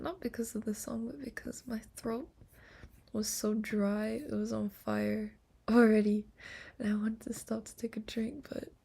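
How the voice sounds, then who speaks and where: Young woman, streamer, soft whisper, casual slang, bedtime chat, slightly high voice. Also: vocal fry, slight background noise.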